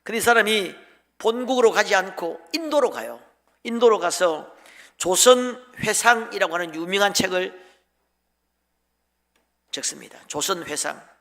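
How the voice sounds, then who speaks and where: A male pastor delivers a storytelling sermon in a high, bright voice with a gravelly creak at the edges. He speaks emotively and emphatically, setting short phrases apart for weight. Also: animated, unhurried, long pauses. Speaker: pastor preaching to a congregation. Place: large church sanctuary during a worship service. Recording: long hall reverb.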